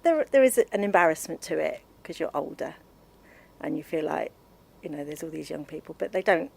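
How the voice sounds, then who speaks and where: Hesitant fifty-something woman, low gravelly voice. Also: animated, fairly quick, a little mellow. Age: 50s. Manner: hesitant, self-conscious. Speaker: interviewee. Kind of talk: casual interview answers.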